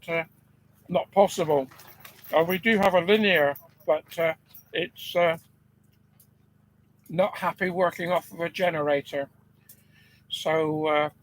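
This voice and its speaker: A man with a deep-sounding voice, an amateur radio operator chatting relaxed on air from a field station. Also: rough recording. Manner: relaxed, hesitant with fillers. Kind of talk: ham radio contact chat. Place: outdoor field station on a generator.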